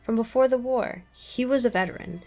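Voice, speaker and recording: An actress playing a chatty, wide-eyed young circus performer in a radio drama. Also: female voice, a little high, brisk, a little mellow, faint music behind, natural voice. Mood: earnest.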